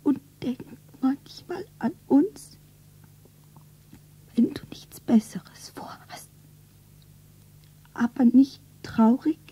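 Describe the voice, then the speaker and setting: An actress playing a grieving, tearful young shop girl in a 1970s radio drama, breathy, theatrical and often whispering. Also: high-pitched, dark tone, exaggerated voice. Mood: crying whisper. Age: young adult. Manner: slow, theatrical, self-narrating. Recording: dull radio play recording.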